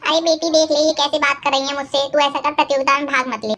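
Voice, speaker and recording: A woman voicing a greedy, scheming older mother-in-law in a cartoon moral story, bossy, insistent and quick to take offence. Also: high-pitched, steady tone, a bit bright, soft background music. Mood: bossy, impatient.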